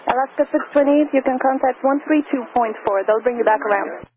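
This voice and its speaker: Fast-talking female controller on tinny radio. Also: sits high. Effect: aviation radio. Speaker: air traffic controller. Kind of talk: radio clearances. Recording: tinny air traffic radio, faint noise.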